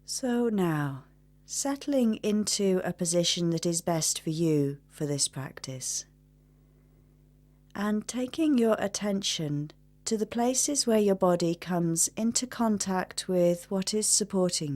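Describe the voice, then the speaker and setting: A woman leading a guided meditation in a gentle, measured manner, leaving long pauses between instructions. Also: medium pitch, expressive.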